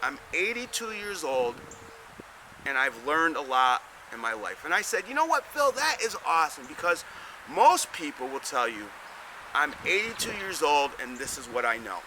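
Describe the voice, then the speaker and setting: A man's voice: on the high side, sing-song, normal speed, sharp tone, poor audio. A man hosting a business advice show, talking in a rambling, folksy way straight to his listeners and often repeating words. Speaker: host giving business advice. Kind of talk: casual monologue to camera. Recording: outdoor traffic noise behind.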